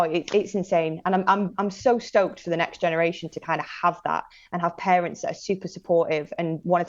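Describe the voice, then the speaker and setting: A woman's voice: on the low side, darkish tone, lo-fi sound. A woman in her late twenties with a Northern English accent, chatting casually as a podcast guest in an enthusiastic, slangy, informal way. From northern England, Manchester and Blackpool area. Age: late 20s. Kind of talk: casual chat, personal anecdotes.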